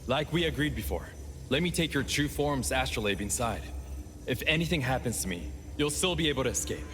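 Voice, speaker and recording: A man playing a steady, loyal field operative in a video game, speaking in a matter-of-fact, resolute way. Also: medium pitch, a little fast, background noise, lightly acted. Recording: prominent music behind the voice.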